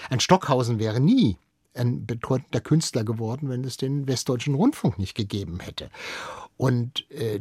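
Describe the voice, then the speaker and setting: Male interview guest, mid-range husky voice, explanatory and emphatic, radio studio. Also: mid-range voice, warm tone, dry recording.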